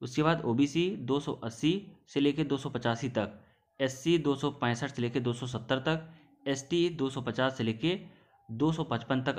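A man giving an informal, repetitive explainer as an exam-preparation presenter, addressing listeners as brothers. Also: medium pitch. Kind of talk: informal explainer over on-screen notes.

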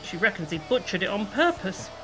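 A woman's voice: mid-range voice, big pitch swings, a little fast, sharp tone, soft background music, low-quality recording. A woman playing a chatty, gossipy, bustling hair salon owner in an audio play, gushing and exclaiming. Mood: gossipy, cheery. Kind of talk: amateur audio play acting.

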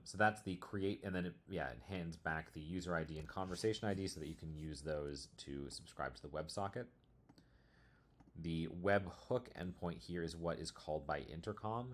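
Guy with a super low voice walking through a software demo, informal and explainy. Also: slight background noise. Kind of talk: casual screencast tutorial walkthrough.

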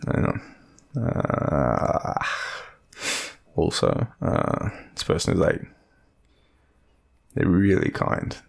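A young Australian man playing a shy, lovestruck friend in an intimate romantic audio roleplay, speaking hesitantly in a deep, gravelly, breathy murmur. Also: sing-song, takes it slowly, long pauses, full character voice. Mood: shy, fond.

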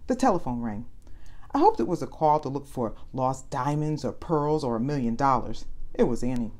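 Expressive low-voiced woman reading a storybook. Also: normal speed. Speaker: storyteller reading children's books aloud. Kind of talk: read-aloud story narration.

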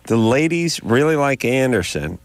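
A middle-aged man hosts a call-in radio show from a dry studio, riffing sarcastically. His pitch swings widely as he builds comic tangents. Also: big pitch swings, moderate pace, no room sound. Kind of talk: casual radio banter with callers. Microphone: studio microphone.

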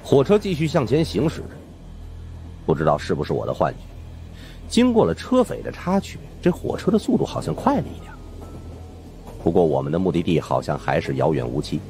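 A man's voice: low-pitched, wildly swooping pitch, a little fast, mellow, faint music behind. A man narrating a suspense audiobook in the first person, reading from a script.

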